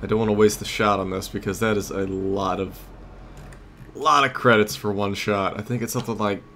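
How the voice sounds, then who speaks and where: A man's voice: lowish voice, fairly even intonation, a little fast, a bit bright, a little hiss or hum. A man giving casual gameplay commentary as a let's play host, thinking aloud in a conversational way.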